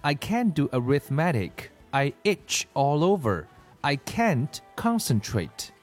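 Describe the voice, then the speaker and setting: A man's voice: a little high, very animated, slow pace, faint music behind, pitched above the performer's own voice, heavily acted. A man voicing a miserable young schoolgirl who whines and complains about itching, in a children's storybook. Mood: whiny.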